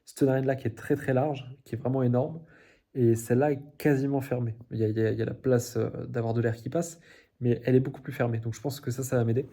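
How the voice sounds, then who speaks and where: Young guy vlogging his day, super dark mellow voice, pretty flat, lots of euh and restarts. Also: medium pitch, fast talker. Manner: rambling, many fillers and restarts; laughs at his own slips. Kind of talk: casual daily video diary.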